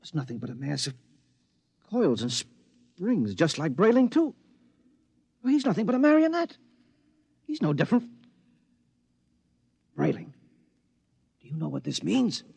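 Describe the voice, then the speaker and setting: A blunt, practical husband. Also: male voice, a little high, big pitch swings, a little slow, gravelly, long pauses, a little mellow, slightly rough, dry recording, heavily acted. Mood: matter-of-fact. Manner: blunt, commanding, matter-of-fact. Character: practical middle-aged husband friend. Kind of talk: 1950s radio acting. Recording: dull 1950s radio recording.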